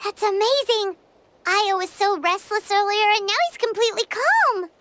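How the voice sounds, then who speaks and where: A woman voicing a tiny, childlike, excitable fairy companion in a game, squeaky and wide-eyed. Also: moderate pace, soft background music. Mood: bright, relieved. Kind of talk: English game dub acting.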